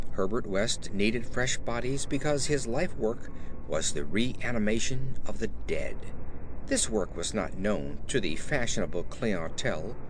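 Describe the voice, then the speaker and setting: A man narrating a horror story in a theatrical, measured, emphatic style. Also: on the low side, background noise. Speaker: audiobook narrator. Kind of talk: dramatic scripted horror narration.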